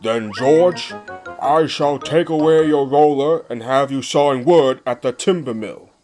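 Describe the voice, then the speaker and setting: A man voicing a stern, measured railway controller in an amateur cartoon comic dub, scolding like a figure of authority. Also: medium pitch, darkish tone, faint music behind, natural voice. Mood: stern, disappointed.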